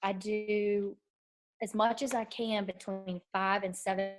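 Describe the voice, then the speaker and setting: A woman's voice: mid-range voice, level tone, a little slow. A woman, a little nasal as she recovers from bronchitis, telling her story as a fitness coach on a casual team call from her couch at home. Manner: chatty, storytelling, quoting herself.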